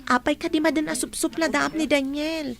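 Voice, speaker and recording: A woman's voice: high-pitched, normal speed, creaky. A young woman acting a level-headed student friend who scolds her classmate in an audio drama. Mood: chiding. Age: young adult. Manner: chiding, calm.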